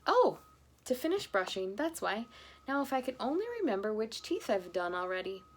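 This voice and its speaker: Woman as befuddled, excitable daddy bear. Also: a little high, normal speed. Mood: puzzled. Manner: puzzled self-talk, sudden bold exclamations. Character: befuddled, forgetful father bear, earnest and excitable.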